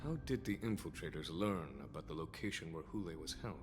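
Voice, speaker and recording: An actor playing a dignified fantasy general in a video game, formal, deliberate and authoritative. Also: male voice, extremely low pitch. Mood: composed, resolute. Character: dignified, composed male general of a fantasy army. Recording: long hall reverb, music behind.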